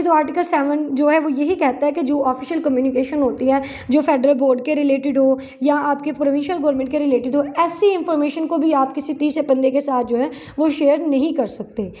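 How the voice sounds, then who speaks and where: A woman with a Pakistani accent speaks in a distinctly high-pitched voice. She lectures like a teacher addressing students, reading formal text aloud and then explaining it. Her delivery is instructional, often restating a point in simpler words. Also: warm tone. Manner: instructional, frequently rephrasing to clarify. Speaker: law lecturer, advocate.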